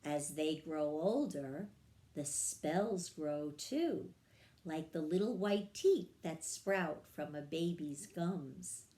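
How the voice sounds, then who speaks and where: A woman reading a children's story aloud in a measured, expressive storytelling manner. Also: low voice. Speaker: story reader. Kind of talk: scripted children's storybook narration.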